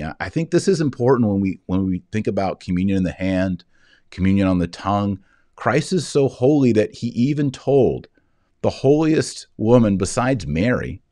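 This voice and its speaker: A man in his forties reading scripture and explaining it in an earnest, emphatic way with reflective pauses. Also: sits low, darkish tone, no room sound, studio quality. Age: 40s. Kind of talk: scripture reading with devotional commentary. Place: indoors, wood-panelled office, seated at a desk.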